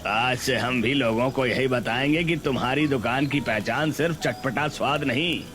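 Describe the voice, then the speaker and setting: A man's voice: mid-range voice, normal speed, voice pushed down, close to an everyday voice. A man voicing a wary but fair-minded male food-stall customer in a cartoon. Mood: impressed. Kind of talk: cartoon dialogue acting. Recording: background music under voice.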